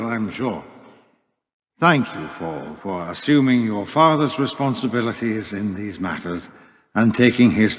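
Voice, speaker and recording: In a long, echoing hall, a man plays a smooth and menacing secret society leader. His speech is pompous and theatrical, swooping widely around a middle pitch, as in a radio drama monologue. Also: lightly acted. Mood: solemn, sly. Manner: pompous, theatrical, measured oratory. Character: suave, menacing male leader of a secret cabal. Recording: long cavernous hall reverb.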